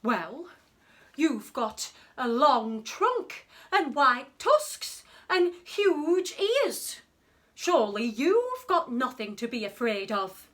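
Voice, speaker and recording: A woman's voice: high-pitched, sing-song, slow pace, a bit bright, exaggerated voice. A woman voicing a timid male lion who is king of the beasts, in a storybook tale. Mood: encouraging. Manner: fearful, storybook voice.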